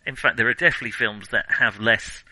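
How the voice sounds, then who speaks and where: A man's voice: medium pitch, quick pace, bright, forceful tone, no room sound, low-quality recording. A man co-hosting a casual film discussion, hesitant as he thinks his way aloud through his opinions. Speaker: film podcast co-host.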